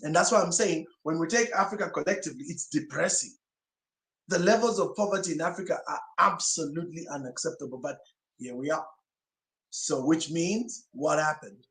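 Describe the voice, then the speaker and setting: A man's voice: a little high, moderate pace, a bit bright. A male pastor whose first language is Swahili, giving an emphatic sermon-style teaching talk full of rhetorical questions. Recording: roomy echo.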